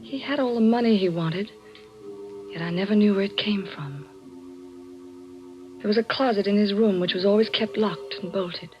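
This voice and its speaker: A woman's voice: mid-range voice, normal speed, pauses often, close to an everyday voice. An actress playing an anxious but determined wife who suspects her husband, earnest and dramatic in a 1940s radio mystery. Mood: haunted. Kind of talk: 1940s radio mystery acting, first-person dramatic narration. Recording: muffled old radio recording with music.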